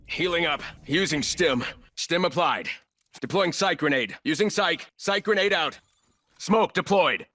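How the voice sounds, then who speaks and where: Video game operator: ruthless corporate soldier, high, sharp voice, clipped orders, cold contempt. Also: male voice. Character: ruthless, arrogant male military operator and cold corporate commander. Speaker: playable operator in a military shooter game. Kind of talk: video game combat barks and callouts.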